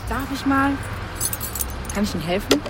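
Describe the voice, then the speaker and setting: High-voiced, insistent, protective woman. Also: expressive, normal speed, music in the background. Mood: wary. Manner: insistent, direct. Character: urgent, protective young woman, pragmatic and wary. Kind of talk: naturalistic German radio crime drama acting.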